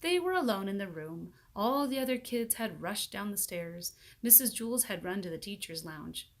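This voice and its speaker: A woman reading a children's book aloud as expressive narration, acting out the dialogue in an animated way. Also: mid-range voice, normal speed.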